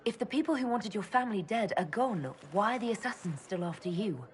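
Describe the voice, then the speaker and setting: An actress playing a composed, decisive leader in a video game, speaking in a dry, direct and skeptical way. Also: female voice, medium pitch, expressive, brisk, natural voice. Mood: dry, questioning. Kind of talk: video game cutscene acting.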